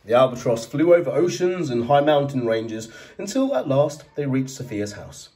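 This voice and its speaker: A man narrating a children's storybook as a teacher, reading in an expressive, dramatised way. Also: mid-range voice, normal speed, recorded from a distance.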